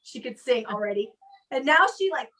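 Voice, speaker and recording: A woman's voice: a little high, animated, normal speed, pushed and bright. A woman hosting a casual online comedy chat from home, rambling along and acting out what people said. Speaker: host of an online comedy show. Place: home, video call.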